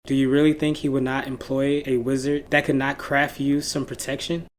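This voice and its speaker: A man voicing an earnest, protective young knight in a dark fairy tale, admonishing and exasperated. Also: mid-range voice, flat delivery, moderate pace, slight background noise, voice pushed up, lightly acted. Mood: fed up.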